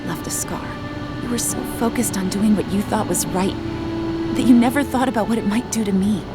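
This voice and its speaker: A woman's voice: medium pitch, a little fast, lightly acted. An actress playing a bitter, determined young activist estranged from her father in a video game cutscene. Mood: hurt, bitter. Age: young adult. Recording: game music behind the voice.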